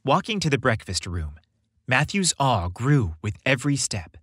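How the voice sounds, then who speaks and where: Guy narrating a story podcast, really animated, dry close sound. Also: medium pitch, moderate pace, husky, no echo.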